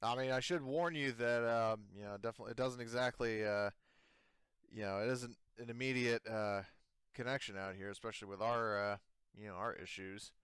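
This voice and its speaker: A man running a tabletop roleplaying game as game master, improvising casually with hesitant, halting phrasing. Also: medium pitch, steady tone, fairly bright tone, clean tone.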